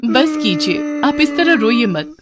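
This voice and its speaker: A woman voicing a gentle, devoted young wife in a dramatised moral story, earnest, soothing and respectful. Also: sits high, takes it slowly, heavy background noise, pitched above the performer's own voice. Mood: consoling, upset.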